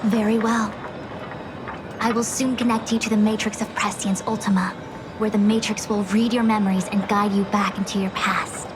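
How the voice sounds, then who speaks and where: A woman's voice: medium pitch, level tone, moderate pace, noisy background, lightly acted. A woman voicing a composed, authoritative female diviner in a video game, speaking formally and precisely. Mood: businesslike.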